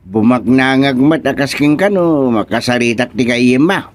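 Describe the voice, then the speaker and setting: Radio comedy sidekick: henpecked, easily tempted Ilocano Filipino husband played by a man, medium pitch, hesitant. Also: expressive, moderate pace, dry recording, close to an everyday voice. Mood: curious, wavering.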